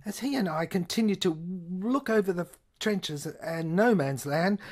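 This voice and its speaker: A woman performing dramatic first-person narration for a science fiction audio play, intense and halting, repeating phrases and at times dropping toward a whisper. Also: mid-range voice.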